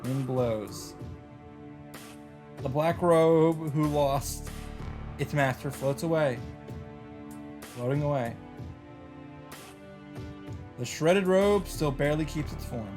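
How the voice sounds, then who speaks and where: A man's voice: fairly high pitch, slow pace, pauses often, a little mellow. Man reading dramatically over music. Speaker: host reading a visual novel aloud with reactions. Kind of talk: dramatic read-aloud narration.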